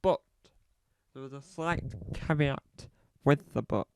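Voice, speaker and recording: A man playing a self-important male celebrity podcaster, rambling and halting with a slight cough, speaking close to a dry mic. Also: medium pitch, creaky, darkish tone, a little hiss or hum. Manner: rambling, halting, fond of repeating phrases. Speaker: comedy podcast host character. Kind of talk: scripted comedy monologue.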